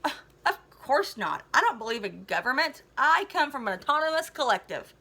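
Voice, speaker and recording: An innocent young hippie boy from a storybook voiced by a woman reader. His voice is very high and bright. He is earnest and puzzled, stating things matter-of-factly. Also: big pitch swings, normal speed, exaggerated voice.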